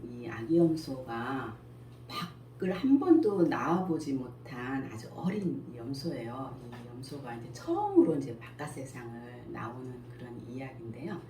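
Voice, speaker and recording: A middle-aged woman with a very deep, low voice. She answers questions slowly and thoughtfully, often pausing to search for words. The recording is roomy, with a faint hiss behind her. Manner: calm, thoughtful, many pauses and fillers. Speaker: school principal and picture book author. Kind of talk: interview answers. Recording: echoey room with faint noise.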